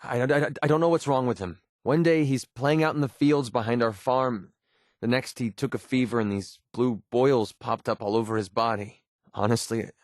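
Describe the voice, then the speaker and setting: A man playing a young, wisecracking, cynical mercenary with a soft side in a video game, speaking in a wry, colloquial way. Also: fairly low pitch, no echo, studio quality, close to an everyday voice. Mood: worried, grieving. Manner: wry, sarcastic, colloquial delivery. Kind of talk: scripted video game companion dialogue.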